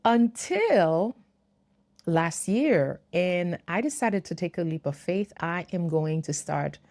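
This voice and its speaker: A woman's voice: on the low side, expressive, slow pace, darkish tone. A woman in her fifties, for whom English is not a first language, telling a personal story to camera in an earnest, reflective way with light laughs. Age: 50s. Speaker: YouTuber.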